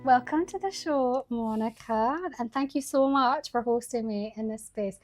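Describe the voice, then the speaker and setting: A woman's voice: on the high side, normal speed, darkish tone, soft background music. A woman hosting a podcast, chatting enthusiastically and laughing often with her guest. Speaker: podcast host. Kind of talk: warm podcast interview.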